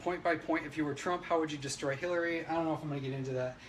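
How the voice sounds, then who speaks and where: A man with a rather high voice holds forth on a livestream. He is brash, opinionated and emphatic, swearing often and talking straight to his viewers without a script. There is faint noise in the recording. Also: fairly even intonation, racing. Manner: emphatic, agitated delivery. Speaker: livestreamer giving political commentary. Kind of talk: unscripted ranting livestream, reading viewer comments. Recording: noisy livestream audio.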